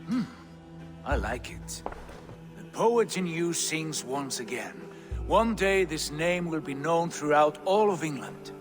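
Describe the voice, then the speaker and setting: An actor playing a confident Viking jarl in a video game cutscene, commanding and welcoming in a formal, declamatory way. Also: male voice, a little high, animated, slow pace, music in the background. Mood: proud, pleased.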